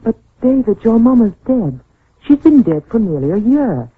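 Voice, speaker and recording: Anxious, gentle heroine of a radio chiller: theatrical, pleading, husky, narrow old broadcast sound. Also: female voice, fairly high pitch, very animated, moderate pace. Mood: gentle, coaxing. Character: earnest, anxious spinster, tender and pleading. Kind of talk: old-time radio suspense acting. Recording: narrow, tinny vintage radio recording.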